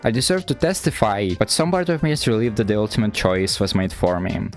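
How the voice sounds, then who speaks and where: An anxious schoolgirl read out by a man in a fairly low voice, thinking everything through at length. The delivery is an earnest, self-doubting inner monologue from a visual novel, with faint music around it. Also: fairly quick, lightly acted. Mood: hesitant, reasoning. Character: anxious, overthinking schoolgirl narrator, wordy and earnest.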